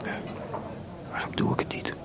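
An actor playing a melancholy, restless composer in a Dutch radio play, hesitant and searching for words, with theatrical delivery. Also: male voice, moderate pace, whispered, close to an everyday voice. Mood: flustered. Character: Mozart, restless, melancholy composer, proud but adrift.

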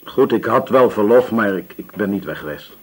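An actor playing a sullen, defensive nineteen-year-old male army corporal in a radio drama, curt and evasive, with a raspy voice. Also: fairly low pitch, lively intonation, normal speed. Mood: evasive, tense. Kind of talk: Dutch radio drama acting.

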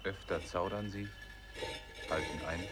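A man playing a grave chorus narrator in a radio drama, speaking terse, measured lines of poetry. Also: sits low, steady tone, over loud music. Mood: grave, observing. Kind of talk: poetic radio drama recitation.

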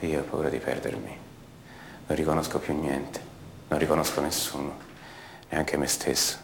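A man acting a bewildered young man shaken about who he is, confiding haltingly and repeating words in a radio theatre monologue. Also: very deep voice, little pitch movement, gravelly, slight background noise. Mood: lost.

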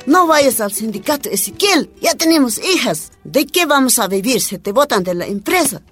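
A woman's voice: a little high, very animated, moderate pace, fairly bright tone, husky, dry recording, soft background music, full character voice. A woman playing an anxious Bolivian miner's wife in a radio drama, worried and pleading.

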